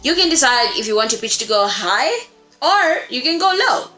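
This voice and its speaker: A woman's voice: high voice, very animated, normal speed, sharp tone. A woman giving an informal tutorial as a host, explaining step by step with rhetorical questions and little check-ins. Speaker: voice acting tutor, video host. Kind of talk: informal tutorial talk to camera. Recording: echoey room, music underneath.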